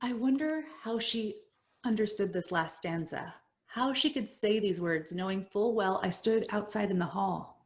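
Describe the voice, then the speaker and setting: A middle-aged woman whose first language is Spanish, reading her personal story in an earnest, measured way that drops softly at the ends of lines. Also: mid-range voice. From United States. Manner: earnest, measured, dropping soft at line ends. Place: home, virtual event.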